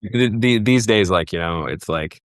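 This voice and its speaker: A man's voice: low voice, moderate pace, dry recording, clean studio sound. A young man from Egypt talking casually as a podcast guest, rambling with many fillers and restarts. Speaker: guest, festival booker.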